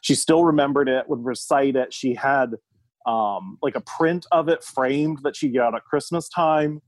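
A man's voice: medium pitch, normal speed, dry recording. A man from the United States, a podcast guest, telling a personal story in a casual, thoughtful, somewhat hesitant conversational way.

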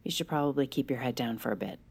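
A woman's voice: very deep voice, quick pace, gravelly, darkish tone, husky, heavily acted. An actress playing a worried, protective sister and mother in naturalistic, earnest audio drama dialogue. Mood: worried, urging. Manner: earnest, cautioning.

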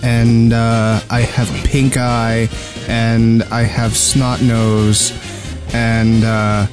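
A man's voice: medium pitch, completely flat delivery, extremely slow. A man co-hosting a podcast in casual, joking chat. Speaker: podcast co-host. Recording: prominent music behind the voice. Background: instrumental music.

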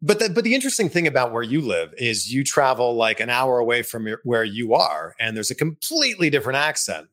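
A man's voice: mid-range voice, sing-song, a little fast, hardly any pauses, fairly bright tone. A Canadian man who hosts on TV, interviewing a guest with friendly, curious questions. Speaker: interviewer, TV host. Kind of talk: casual video interview.

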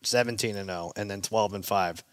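A man's voice: fairly low pitch, a little fast, a bit bright, clear, resonant tone, dry recording. Dry-sounding man hosting sports talk. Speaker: sports show host. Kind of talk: casual sports betting discussion.

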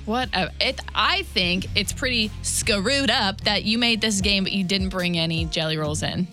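A woman's voice: mid-range voice, normal speed, sharp tone. A woman co-hosting a radio show, chatting casually and thinking aloud with playful skepticism as she plays along. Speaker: radio co-host playing as contestant. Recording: music bed clearly behind voice. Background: background music.

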